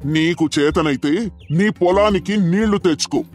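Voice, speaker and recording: A man voicing an arrogant, greedy rich village landlord in a storybook tale, haughty, loud and scornful. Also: sits high, moderate pace, soft background music, pitched above the performer's own voice, natural voice. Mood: angry scorn.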